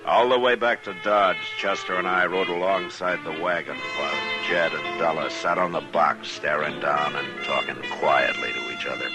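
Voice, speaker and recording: An American actor playing a stoic, plain-spoken frontier marshal in a 1950s Western radio drama, measured and matter-of-fact. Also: male voice, low-pitched, natural voice.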